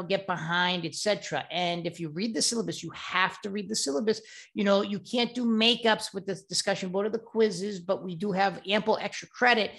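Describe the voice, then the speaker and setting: A man's voice: high voice, fairly bright tone. A middle-aged man with a Boston accent, a university professor giving an enthusiastic, rambling introduction to his course. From Boston, USA. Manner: enthusiastic, chatty and digressive, wandering off-topic. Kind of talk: casual course introduction video.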